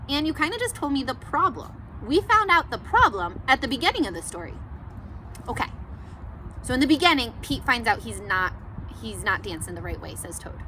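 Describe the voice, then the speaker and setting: Very animated high-voiced woman teaching kids. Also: a little hiss or hum, poor audio. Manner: animated, encouraging, asks questions and pauses for answers. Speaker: teacher reading aloud to children. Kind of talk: interactive children's read-aloud, talking to young viewers.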